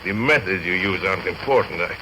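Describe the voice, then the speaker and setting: An actor playing a sick, obsessed, embittered ivory trader in a grandiose, insistent manner in an old radio drama. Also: male voice, low voice, brisk, bright, forceful tone. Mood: feverish, agitated. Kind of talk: 1930s radio drama acting. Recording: noisy old radio broadcast recording.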